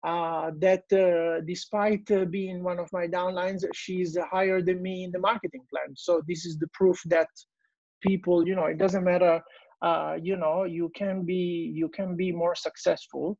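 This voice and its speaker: A man's voice: sits high, level tone, unhurried, creaky, darkish tone, no room sound, muffled recording. A man in his forties with an Italian accent, giving a business presentation over a video call, hesitant with many fillers and restarts. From Italy. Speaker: guest speaker. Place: small room at home, indoors. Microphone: earbuds on video call.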